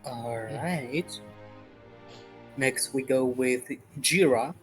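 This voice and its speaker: A man's voice: mid-range voice, very slow, faint music behind. A man casually moderating introductions in a tabletop game session.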